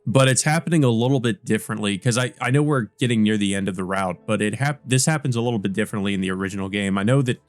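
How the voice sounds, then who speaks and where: A man's voice: on the low side, racing, hardly any pauses, dry recording, soft background music. A man hosting a let's play, reading a visual novel aloud with casual asides. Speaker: let's play host and reader.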